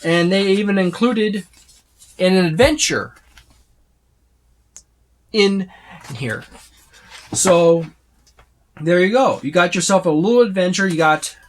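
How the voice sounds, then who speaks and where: A tabletop game reviewer giving a casual, unscripted overview, speaking haltingly with repeated words and trailing lists. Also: medium pitch. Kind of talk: casual unscripted book flip-through overview.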